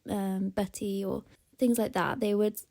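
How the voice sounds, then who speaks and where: Soft-spoken, reflective young woman. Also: mid-range voice, level tone, unhurried, creaky. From South Wales. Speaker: interviewee. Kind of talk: recorded interview testimony.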